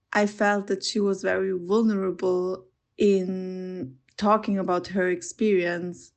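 A young woman from Austria shares personal reflections as a podcast guest. She talks slowly and carefully, weighing her words. Her voice sits a little low. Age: 20s. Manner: thoughtful, measured, searching for words.

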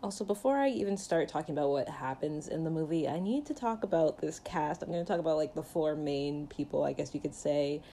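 A woman's voice: low voice, fairly quick, hardly any pauses, mellow, a little hiss or hum. A woman giving a casual, chatty film review in a jokey, conversational way, peppered with "like". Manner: jokey, conversational, uses filler "like" often. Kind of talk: casual chatty movie recap and commentary.

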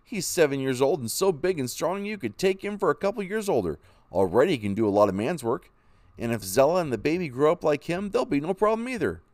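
A man playing a determined pioneer father, eager and firm. The reader's voice rises above his own pitch and bounds through lively intonation. The sound is dry and close. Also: fast, dry recording. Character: determined young pioneer father, set on heading west. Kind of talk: storybook reading.

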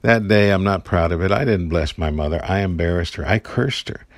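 A man with a low, gravelly voice gives an inspirational talk, earnest and encouraging. He tells personal stories and breaks into the odd chuckle. The recording is close and dry, with no room sound. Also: brisk, no room sound. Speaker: podcast host giving daily encouragement.

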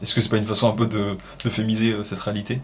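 Male interviewer, lowish voice, halting questions, faint background noise. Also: level tone.

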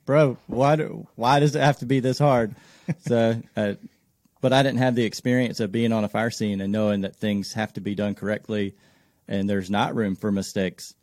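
Lowish voice guy, fireman podcast guest, candid n casual, dry recording. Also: fairly even intonation, moderate pace, darkish tone, no echo. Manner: candid, informal. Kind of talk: casual reflective storytelling.

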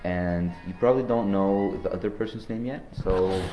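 A teenage boy from Norway hosting a joking school podcast, talking in deadpan, slangy banter. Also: low voice, moderate pace, echoey room, highs cut off, faint music behind. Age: teens. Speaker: podcast host. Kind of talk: joking student podcast interview.

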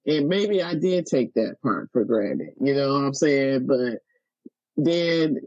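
A man in his late thirties, chatting casually and reflectively, laid-back and quick to laugh. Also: medium pitch, expressive, a little mellow, no echo. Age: 30s. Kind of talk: casual heartfelt conversation. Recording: dull lo-fi sound.

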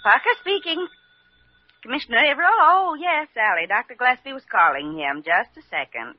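An actress playing a brisk, polite hospital switchboard operator in 1940s radio acting. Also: a little high, expressive. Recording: dry lo-fi old radio.

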